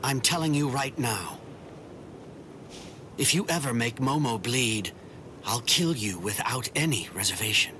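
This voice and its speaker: An actor playing a stern, cool-headed young captain in an anime game, terse and commanding. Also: male voice, medium pitch, lively intonation, a little slow, pauses often, noisy background, natural voice. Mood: cold threat. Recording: music behind the voice.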